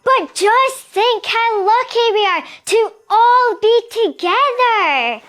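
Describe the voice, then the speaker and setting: A woman's voice: very high-pitched, animated, takes it slowly, fairly bright tone, full character voice. A woman playing an upbeat, teasing pantomime dwarf with a North-East Scottish Doric accent. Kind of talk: comic pantomime dialogue.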